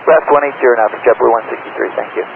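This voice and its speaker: A man working as an airline pilot makes clipped radio calls, pausing often with hesitant uhs. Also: medium pitch, vocal fry. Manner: clipped readbacks, hesitant pauses with frequent uhs. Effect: aircraft radio. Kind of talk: air traffic radio transmissions. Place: airliner cockpit. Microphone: pilot headset. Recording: noisy narrow-band cockpit radio transmission.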